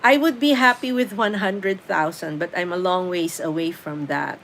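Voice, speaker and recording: A woman's voice: on the low side, moderate pace, fairly bright tone, slight background noise, low-quality recording. A middle-aged Filipino woman with a Filipino accent, whose first language is Tagalog, hosting a casual live stream where she reads viewer comments aloud and answers them with easy laughs. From Philippines.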